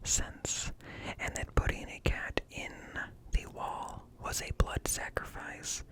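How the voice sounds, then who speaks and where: A man reading aloud in a hushed ASMR whisper, close to the microphone, pausing between short phrases. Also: low-quality recording. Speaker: ASMR creator reading encyclopedia articles aloud. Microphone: close-up microphone with ear-to-ear stereo.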